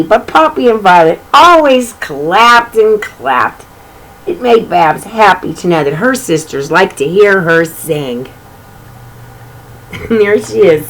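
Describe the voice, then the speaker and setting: A woman's voice: medium pitch, low-quality recording. A woman hosting a read-aloud for children, chatting directly to her young listeners with asides and repeated phrases as she reads a picture book. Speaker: children's story reader and host.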